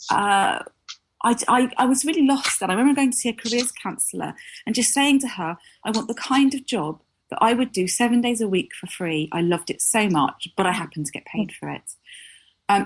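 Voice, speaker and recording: Candid woman storyteller. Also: medium pitch. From south of England. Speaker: guest, copywriter. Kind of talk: casual interview chat.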